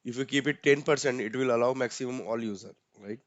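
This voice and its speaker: A man with an Indian accent walks through software settings step by step. He talks casually, with many fillers and a frequent "right?" at the end of his points. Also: medium pitch, quick pace, no echo, lo-fi sound. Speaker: instructor.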